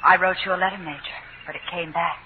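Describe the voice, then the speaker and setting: Actress as a gentle, polite woman. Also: on the low side, fairly even intonation, fast talker, a little hiss or hum, natural voice. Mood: polite, welcoming. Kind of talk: 1940s radio acting. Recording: thin, tinny 1940s radio recording.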